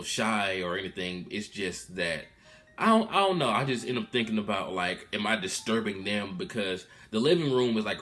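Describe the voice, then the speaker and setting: Young man in his twenties, lowish voice, subdued and candid, rambling casually to his viewers. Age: 20s. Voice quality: loud voice. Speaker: vlogger. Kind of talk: casual update vlog. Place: room at his mom's house.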